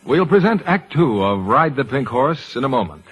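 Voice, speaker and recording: A man's voice: mid-range voice, big pitch swings, normal speed, fairly bright tone, husky. An American man announcing a scripted 1940s radio commercial in polished sales patter.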